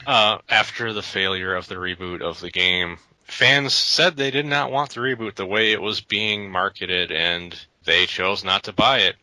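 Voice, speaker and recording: A man's voice: low voice, fairly even intonation, unhurried, hardly any pauses, bright, forceful tone, dry recording, rough recording. A man co-hosting a casual gaming podcast, talking in long rambling runs with frequent restarts. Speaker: podcast co-host.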